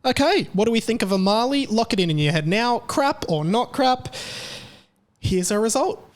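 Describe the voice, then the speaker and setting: Young man, high voice, casual sarcastic chat, laughs often. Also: expressive, normal speed, over loud music. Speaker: podcast host.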